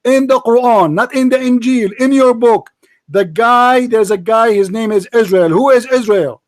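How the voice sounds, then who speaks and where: A man's voice: very high voice, normal speed, dry recording. A man whose first language is Arabic, hosting a heated religious debate in a combative, mocking, insulting way. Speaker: debate host.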